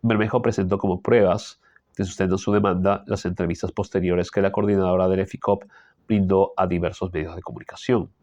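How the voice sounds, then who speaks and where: A man with a Peruvian Spanish accent reads a political column aloud and adds his own asides, often clearing his throat because of an allergy. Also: deep voice, little pitch movement, brisk, darkish tone, no echo. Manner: frequent throat clearing and tag questions. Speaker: political commentator reading the news. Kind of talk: reading a news column aloud with personal asides.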